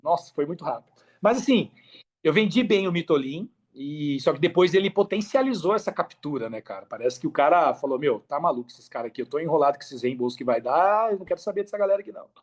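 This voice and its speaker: Higher-pitched Brazilian man, colloquial podcast guest. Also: expressive, fast talker. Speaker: podcast guest, affiliate marketer. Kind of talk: casual podcast conversation, giving advice.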